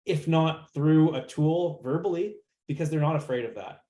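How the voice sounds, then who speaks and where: A man's voice: on the high side, moderate pace, mellow. A man hosting a discussion in a conversational, informal way, thinking aloud and posing rhetorical questions. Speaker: panel moderator. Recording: roomy, echoey sound.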